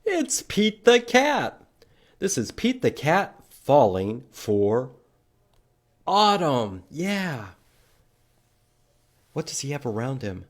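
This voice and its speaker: Animated, slow-paced man hosting children's storytime. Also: a little high. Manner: exaggerated, sing-song, prompting young children with questions. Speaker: library storytime host. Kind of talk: children's storytime read-aloud with counting songs.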